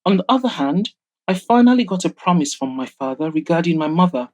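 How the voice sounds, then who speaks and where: A woman's voice: on the low side, lively intonation, fairly quick, darkish tone. A woman voicing a proud, guarded woman in an audio drama, speaking in pointed, formal, exasperated phrases. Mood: resigned. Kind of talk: audio drama character dialogue.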